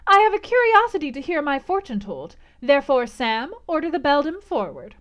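Woman doing a bossy stuck-up young lady, high and loud, snapping orders. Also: very animated, moderate pace. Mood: imperious. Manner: imperious and commanding. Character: haughty, willful young aristocratic woman.

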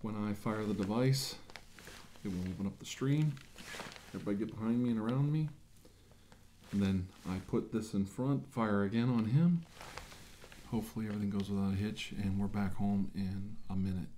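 Male, middle-aged, lowish voice: man acting as earnest scientist-commander briefing his squad in amateur sci-fi role-play, halting delivery, noisy track. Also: warm tone, close to an everyday voice. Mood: earnest, commanding.

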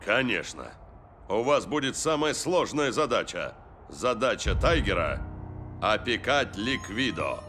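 A man's voice: fairly low pitch, big pitch swings, measured pace, a bit bright. A man playing a firm, authoritative football coach in a dubbed cartoon, with music behind him.

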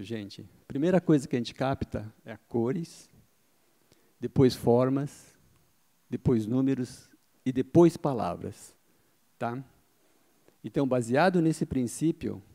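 Gravelly dark-voiced man giving a talk. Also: medium pitch. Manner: explanatory, asks himself questions and answers them, frequent "tá?" tags. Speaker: speaker giving a marketing talk. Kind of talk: conference talk to a live audience. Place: event hall with a seated audience. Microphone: handheld microphone.

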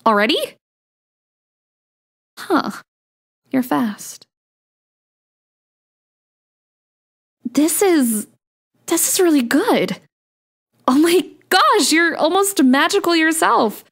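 A woman playing a playful, sweet young daughter of Cupid in an ASMR romance roleplay, coy and gently teasing. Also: sits high, expressive, slow, long pauses, full character voice. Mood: impressed.

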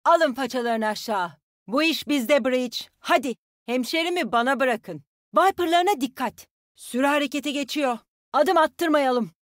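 Casting: young female game fighter and healer, high pitch, plucky, folksy, confident, very dry studio sound. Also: a little slow, dry recording. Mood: rallying. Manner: folksy, confident, spirited team talk. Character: spirited tough young Australian woman fighter, nature-loving healer. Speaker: game character voice actor. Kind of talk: video game voice lines, short battle call-outs.

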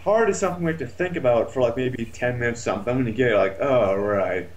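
A man's voice: mid-range voice, fast talker, a little hiss or hum. A man chatting casually about a video game, complaining in a sardonic, foul-mouthed way.

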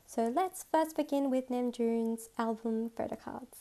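A woman's voice: fairly high pitch, a little slow, warm tone. A woman with a slight cold, narrating a casual voice-over as she shows her collection, chatty and enthusiastic. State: has a cold. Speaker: photocard collector, channel host. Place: recorded separately as voice-over, not in pictured room. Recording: music playing underneath.

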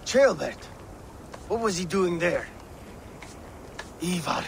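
A man's voice: high voice, lively intonation, slow, vocal fry, a little hiss or hum, full character voice. A man playing a grim Viking raider in a video game, with a terse, menacing way of talking. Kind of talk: dramatic video game acting.

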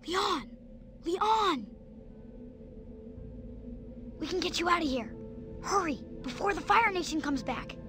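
A performer voicing an earnest, gentle twelve-year-old boy hero in a cartoon game. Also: extremely high pitch, expressive, moderate pace, soft background music, full character voice. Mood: urgent. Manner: youthful, earnest.

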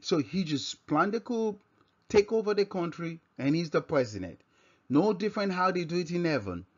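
A man with a Nigerian accent giving an informal scripture lesson as a religious teacher, halting and often repeating and rephrasing himself. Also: high-pitched, big pitch swings. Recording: dull, lo-fi microphone sound.

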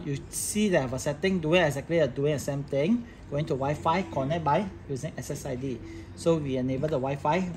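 Man giving tutorial, clear background noise. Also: on the high side, poor audio. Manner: instructional, talking through each step while working. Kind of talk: informal hands-on tech tutorial. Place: indoor office workbench with electronic devices.

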